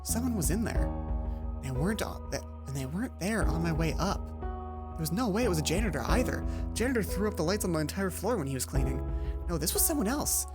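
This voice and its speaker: A man's voice: on the high side, big pitch swings, a little fast, music in the background. A man narrating as a nervous young schoolgirl. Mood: hushed. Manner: storybook first-person narration, hushed and suspenseful. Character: young female student, nervous and wry narrator.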